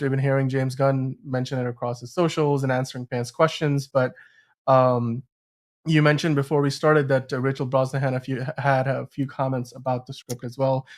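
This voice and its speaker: A man hosting a podcast, discussing movie news casually in a halting, rambling way with self-corrections. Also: medium pitch. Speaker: podcast host.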